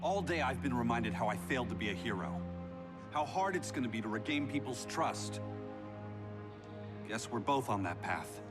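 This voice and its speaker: An actor playing a remorseful, earnest superhero trying to redeem himself in a dramatic video game cutscene. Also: male voice, mid-range voice, lively intonation, normal speed, pauses often, over loud music, close to an everyday voice. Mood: regretful, earnest.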